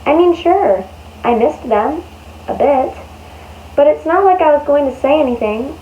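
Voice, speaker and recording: A woman reading a picture book aloud to young children in an expressive way, with exaggerated emphasis and drawn-out words. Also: high-pitched. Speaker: children's storyteller. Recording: dull lo-fi sound with faint noise.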